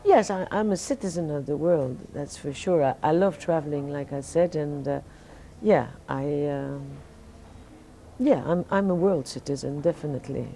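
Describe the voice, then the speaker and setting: A Belgian woman whose first language is French, giving relaxed, halting answers in an interview while sleepy and fighting off jet lag. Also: low voice, a little hiss or hum. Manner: relaxed, halting, many restarts and fillers. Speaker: singer, interview guest.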